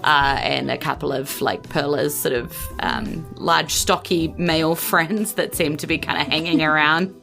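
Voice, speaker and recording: A woman's voice: sits low, steady tone, measured pace, gravelly, few pauses, a bit bright, faint music behind. A woman narrating a tabletop game as game master in a casual, conversational, improvised way.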